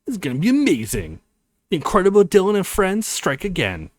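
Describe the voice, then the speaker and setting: A man voicing an excitable young college guy who fancies himself an anime hero, in a theatrical, boyish manner for a visual novel. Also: sits high, a little fast, no room sound, voice pushed up. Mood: excited, boastful.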